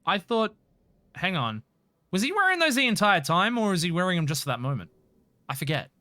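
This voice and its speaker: An Australian man giving casual, expressive game commentary and chatting with viewers on a livestream. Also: sits high, fairly quick, no echo. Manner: expressive, sweary.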